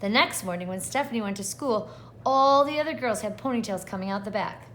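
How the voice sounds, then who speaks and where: A woman's voice: medium pitch, a little fast, fairly bright tone, a little hiss or hum. A woman kindergarten teacher reading a picture book aloud expressively and putting questions to the children. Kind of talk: read-aloud lesson for young children.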